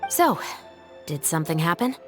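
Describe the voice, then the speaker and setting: An actress playing a composed, by-the-book young police captain in a video game, with a dry, deadpan manner. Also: female voice, sits low, moderate pace, loud music behind the voice. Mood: skeptical.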